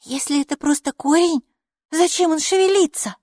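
Woman voicing an excitable, high-pitched little storybook girl. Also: normal speed, dry recording, clean studio sound, lightly acted. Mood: excited, insistent. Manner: emphatic, excitable, matter-of-fact. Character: lively, stubborn, inquisitive little girl. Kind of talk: children's audiobook character voice.

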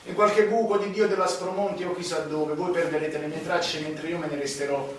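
An actor playing an arrogant, unrepentant Sicilian mafioso, delivering a solemn, declamatory stage monologue with dramatic pauses. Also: male voice, a little high, fairly even intonation, slight background noise, lightly acted. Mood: arrogant, menacing. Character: proud unrepentant Sicilian male mafioso confessing, arrogant, self-righteous. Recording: echoey distant stage recording with music. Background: music.

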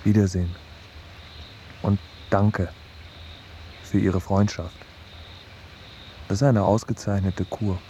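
An anxious, self-doubting man in midlife for a radio play. His voice is soft-edged and on the low side. He comes across as brooding and confessional. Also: little pitch movement, slow pace, long pauses, lo-fi sound, slight background noise. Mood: anxious, subdued. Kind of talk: radio drama acting.

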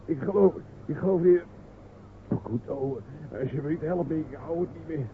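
An actor in a radio play playing a timid, faltering villager who is fearful and whining. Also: male voice, a little high, moderate pace, close to an everyday voice. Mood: desperate, failing. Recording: tinny noisy old radio play recording.

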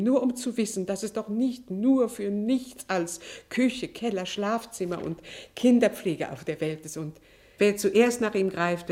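An actress playing a proud, embittered estranged wife and mother in a German radio drama, speaking in a deliberate, theatrical, eloquent way. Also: medium pitch, a little mellow, close to an everyday voice.

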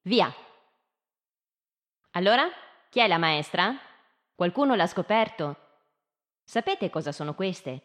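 An actress playing an inquisitive schoolteacher in live radio theatre, putting short, probing questions to children in speech. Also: female voice, on the low side, lively intonation, slow pace, long pauses, a bit bright.

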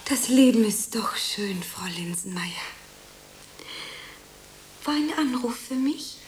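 A woman playing an eager, anxious young beginner actress in a radio play, earnest and theatrical, with dramatic pauses. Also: fairly high pitch, lively intonation. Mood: weary, plaintive. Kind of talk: German radio play acting. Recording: roomy, faint hiss.

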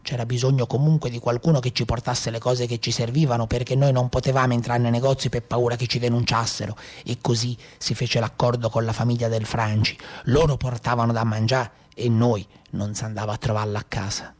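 A weary, bitter old outlaw from the Tuscan countryside , played by a man, with a medium-pitched voice. He recounts his life in a reflective, colloquial stage monologue with a Tuscan accent. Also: level tone, a little fast, few pauses, lightly acted. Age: 60s, as played. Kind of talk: theatrical first-person storytelling.

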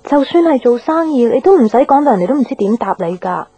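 Mellow, earnest young girlfriend. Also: sits high, expressive, fast talker, husky. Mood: concerned, reproachful. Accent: Hong Kong Cantonese. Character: caring, frustrated young girlfriend, anxious about her relationship. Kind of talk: Cantonese radio drama acting. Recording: background music under the dialogue.

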